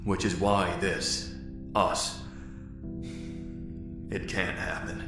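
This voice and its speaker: A man acting a guilt-ridden leader in an audio drama, speaking in a grave, halting, remorseful way. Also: low-pitched, level tone. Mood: pained resolve. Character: guilt-ridden male leader ending a romance. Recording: echoey room with loud guitar music.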